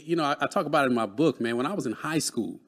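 A man's voice: medium pitch, expressive, rapid-fire. A man in his late thirties from Dallas, Texas, a guest speaking earnestly and motivationally about mentoring, often adding "man.". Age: 30s. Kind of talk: conversational interview.

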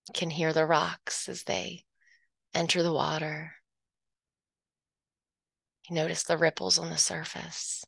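A woman talks a listener through a guided hypnosis meditation, drawing out every phrase at a very unhurried pace and sometimes easing into a soft whisper. Her voice sits on the low side and carries a gravelly, fry-tinged texture. The recording is dry and close, with no room sound around her. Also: level tone, dry recording.

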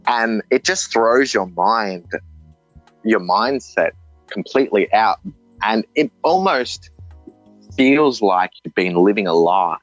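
A man with an Australian accent speaks slowly and earnestly, stumbling over and restarting his phrases as he reflects. His voice is fairly low. The recording sounds dull and dry, with faint music underneath. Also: animated, no room sound. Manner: halting, earnest, with frequent restarts. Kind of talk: reflective personal testimony.

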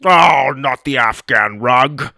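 A man voicing a fussy, exasperated old grandfather in a children's story, grumbling with drawn-out exclamations. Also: medium pitch, animated, creaky, bright, forceful tone, no room sound, clean studio sound, heavily acted.

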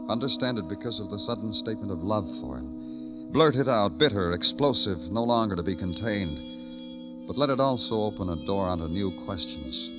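A man's voice: lowish voice, lively intonation, normal speed, natural voice. A man acting a brooding, probing police lieutenant who narrates a classic radio crime drama, with clipped delivery. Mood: brooding, intense. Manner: clipped questioning, poetic brooding monologue. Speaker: lead detective and narrator. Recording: old radio drama with music behind.

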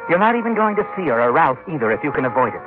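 A man giving measured, suspenseful narration in a vintage radio drama style. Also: a little high, sing-song. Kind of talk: dramatic second-person 1940s-50s radio narration. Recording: tinny old radio recording with faint music.

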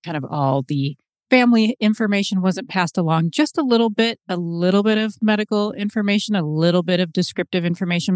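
A woman's voice: lowish voice, no echo, clean studio sound. A middle-aged woman from Seattle, a podcast guest, reflecting on her life in a chatty, storytelling way. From Seattle, USA. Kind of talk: conversational interview.

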